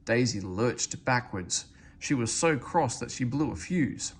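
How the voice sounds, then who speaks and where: A man narrates a children's story with expressive, swooping intonation. His voice has a gravelly edge at an ordinary male pitch, and there is faint noise behind him. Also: unhurried. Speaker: storybook reader.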